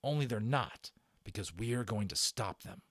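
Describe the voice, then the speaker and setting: A man's voice: lowish voice, lively intonation, normal speed, fairly bright tone, no room sound, studio quality. A man voicing a bossy, determined boy from a storybook, speaking in an urgent, terse, commanding way. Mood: alarmed, resolute. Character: bossy, determined boy leading a secret battle.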